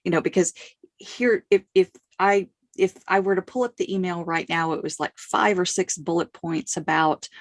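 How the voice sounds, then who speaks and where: A woman hosting a podcast, hesitant and unhurried, with lots of fillers and quick affirmations. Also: fairly low pitch, fairly even intonation, no echo. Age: young adult to middle-aged. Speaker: podcast host. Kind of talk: casual podcast conversation.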